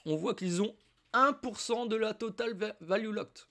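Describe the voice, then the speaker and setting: High-voiced French man with a cold. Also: moderate pace, sharp tone. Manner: informal, addresses viewer as "tu", chatty asides. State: has a cold. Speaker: crypto YouTuber. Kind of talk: casual screen-share tutorial.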